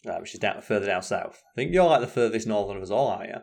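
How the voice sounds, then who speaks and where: A man's voice: fairly low pitch, animated, racing, vocal fry, darkish tone. An English man co-hosting a live stream from home, chatting casually in a rambling way and swearing freely. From England. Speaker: co-host.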